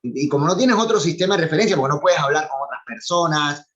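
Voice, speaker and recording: A man's voice: a little high, animated, fast talker, over a noisy crowd. A man from Cuba with a Cuban accent, chatting on a video call, talkative and opinionated, often laughing as he talks. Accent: Cuban Spanish. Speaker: former cultural animator. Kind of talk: casual chat on a video call. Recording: dull lo-fi video call audio.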